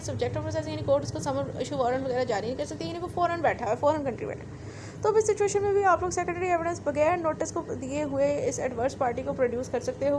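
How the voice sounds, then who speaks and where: Female law lecturer, Pakistani accent, high voice, didactic and repetitive, mixing in English terms, clearly noisy recording. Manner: didactic, repeats and rephrases points.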